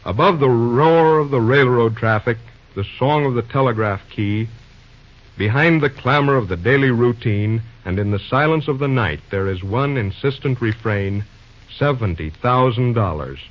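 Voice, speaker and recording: A man's voice: medium pitch, moderate pace. Dramatic male vintage radio announcer, dull recording. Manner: declamatory, rhetorical. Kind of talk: scripted dramatic old-time radio narration.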